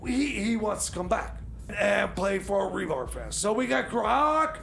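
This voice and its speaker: A man co-hosting a radio show with excitable, stammering comedy banter. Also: high voice, a little slow, vocal fry, slight background noise.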